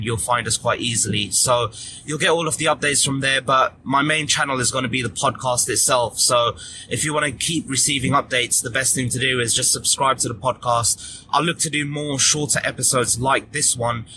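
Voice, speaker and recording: A man talks through a solo podcast update in a relaxed, earnest way, his pitch staying fairly even throughout. He sits mid-range in pitch. Clear background noise and ambience run underneath his voice. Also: a little fast. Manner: relaxed and earnest, with fillers and restarts. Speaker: podcast host. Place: at the gym.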